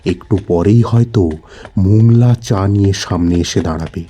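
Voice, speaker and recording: A man narrating a horror story in a dramatic, suspenseful way with deliberate phrasing. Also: sits low, mellow, slight background noise. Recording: voice over background music. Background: background music.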